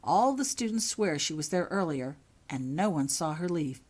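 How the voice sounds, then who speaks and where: Woman voicing a sharp, formal older headmistress. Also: low-pitched, animated, normal speed. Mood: worried. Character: stern, worried older headmistress of a wizard school.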